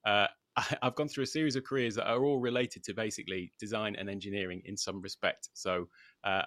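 A man's voice: fairly low pitch, steady tone, a little fast, fairly bright tone, no echo. A middle-aged man from the United Kingdom with a British accent, talking casually as an interview guest. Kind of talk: casual conversational self-introduction.

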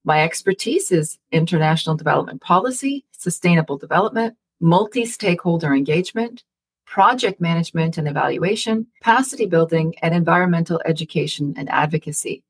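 A woman's voice: fairly low pitch, lively intonation, fairly quick, breathy edge. A woman hosting a career interview as a career development practitioner, who talks in a chatty way and often says "kind of". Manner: chatty, with many uses of "kind of".

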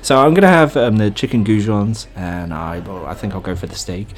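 A man playing a hesitant, bemused dinner date who speaks politely and haltingly in an improvised comedy radio play. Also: fairly low pitch, natural voice.

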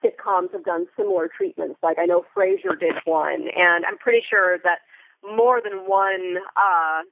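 American woman, creaky voice over phone line. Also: on the low side, unhurried, a little hiss or hum. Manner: wordy, articulate phrasing with vivid examples. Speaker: interview guest, theater Foley artist. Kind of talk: conversational interview. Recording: band-limited phone-like line.

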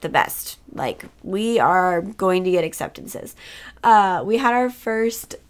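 A woman's voice: medium pitch, expressive, slow, smooth. A woman hosting a podcast who has a cold. She talks in an upbeat, chatty way, with fillers like "uh" and "like". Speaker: podcast host. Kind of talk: podcast intro and announcements.

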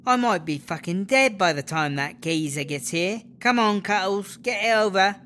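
A woman's voice: mid-range voice, lively intonation, moderate pace, a bit bright, slight background noise, close to an everyday voice. A woman playing a defiant, foul-mouthed tough prisoner and rapper with a British accent in a comedy audio drama, blunt and cheeky. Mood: pained, gruff.

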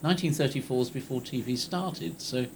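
A man asking a casual, measured and inquisitive question from the audience at a live event. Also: medium pitch, darkish tone. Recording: clearly noisy live room recording.

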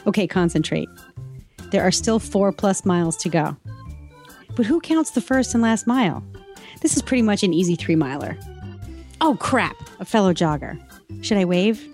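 A woman's voice: mid-range voice, a little mellow. A woman with a mid-range voice speaks over faint music. She is a chatty podcast co-host with a wry, informal comic delivery. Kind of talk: chatty introduction and comedic list reading.

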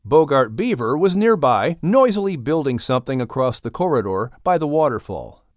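A man's voice: mid-range voice, very animated, normal speed, dry recording, studio quality. A man narrating a scripted children's audiobook as the storyteller. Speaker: narrator and announcer.

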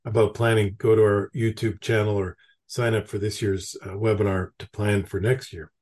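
A man's voice: fairly low pitch, flat delivery, normal speed, a little mellow. A man from Canada hosting a podcast, chatty and anecdotal, asking long questions. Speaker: podcast host. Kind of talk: podcast interview.

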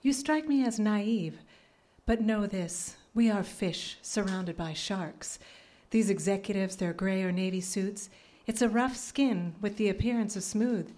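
Casting: actress for a wry, pragmatic office manager, dark relaxed timbre, deadpan delivery, live radio play. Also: female voice, medium pitch, unhurried, natural voice. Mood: stern warning. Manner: crisp, instructive, deadpan. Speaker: actor. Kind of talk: live radio drama acting.

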